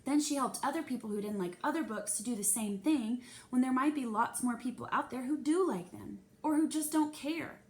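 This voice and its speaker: A woman's voice: high voice, brisk, few pauses. A woman reading a children's novel aloud expressively, narrating in the first person. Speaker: storybook reader.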